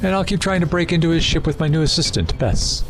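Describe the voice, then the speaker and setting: An actor in an audio drama playing a suave, erudite renegade Time Lord villain, wordy, condescending and persuasive. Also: male voice, fairly high pitch, fast talker, faint music behind, lightly acted. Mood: sly, probing. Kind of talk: full-cast audio drama acting.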